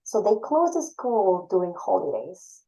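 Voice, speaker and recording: A woman's voice: mid-range voice, very animated, measured pace, low-quality recording. Ecuadorian woman, earnest podcast guest. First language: Spanish. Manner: warm, earnest, speaks English as a second language with small slips. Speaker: assistant director of graduate admission.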